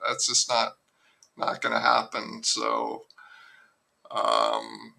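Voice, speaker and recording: A man's voice: fairly low pitch, big pitch swings, extremely slow, gravelly, bright, forceful tone. An older man, an author being interviewed remotely, telling long rambling stories with frequent restarts. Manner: rambling, self-interrupting storyteller. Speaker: author and guest. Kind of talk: casual interview chat.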